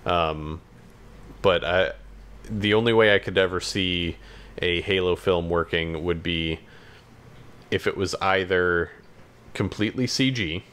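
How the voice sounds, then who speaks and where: A man co-hosting a podcast in casual chat, talking in a hesitant, halting way. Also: low-pitched, fairly bright tone, clean tone. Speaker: podcast co-host.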